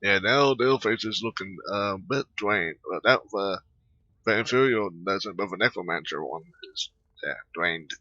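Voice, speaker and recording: A man giving casual, off-the-cuff gaming commentary in a halting, offhand, mumbly way. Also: on the low side, bright, forceful tone, no room sound, highs cut off. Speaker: gameplay commentator.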